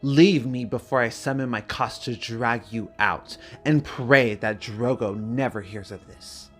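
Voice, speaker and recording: A man voicing a proud young noblewoman in a dramatic story reading, imperious and deliberate. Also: mid-range voice, a bit bright, soft background music, lightly acted. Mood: angry, commanding. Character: proud young noblewoman, a queen finding her confidence.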